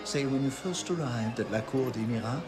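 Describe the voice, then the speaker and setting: A man's voice: mid-range voice, a little fast, lightly acted. An actor playing a sly, theatrical thief king who drawls and mocks, in a game cutscene. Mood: sardonic. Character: sly, theatrical middle-aged man, king of thieves. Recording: music underneath.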